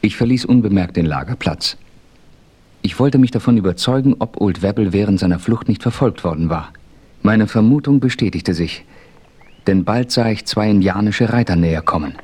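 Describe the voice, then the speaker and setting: A man playing a calm, self-assured western hero who narrates his own adventure in a radio play. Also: fairly low pitch, normal speed, husky. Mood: suspenseful.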